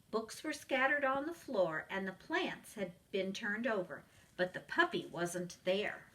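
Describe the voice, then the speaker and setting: A woman narrates a children's storybook with expressive, teacherly delivery. Her voice is bright and very lively in pitch, heard slightly away from the mic. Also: far from the mic, low-quality recording. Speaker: preschool teacher reading aloud.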